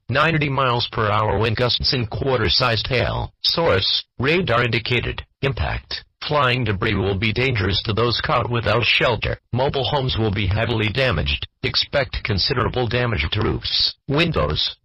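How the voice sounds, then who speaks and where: A man's voice: mid-range voice, sharp tone, slightly rough, no room sound, dull audio. A synthetic male text-to-speech voice with an American accent, reading a scripted emergency weather bulletin in a formal, stilted, segmented way. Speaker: automated weather alert announcer.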